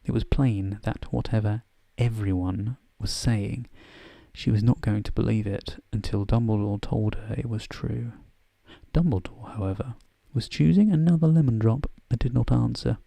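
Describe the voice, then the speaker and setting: A man narrates a bedtime story as soft ASMR, at times in a whisper. His voice is mellow and creaky, captured very close and dry. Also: fairly low pitch, steady tone, normal speed, no room sound. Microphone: close microphone in a dry space.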